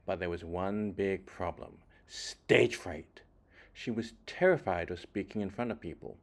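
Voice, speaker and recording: Male children's storyteller with a fairly low voice, reading expressively with deliberate phrasing and varied, dramatic emphasis. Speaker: children's book reader.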